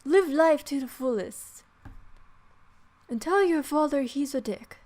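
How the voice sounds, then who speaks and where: Irreverent old grandpa for a game read-aloud, performed by a woman: slow, drawling, exuberant, raised pitch. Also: animated, darkish tone. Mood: hearty, cheeky.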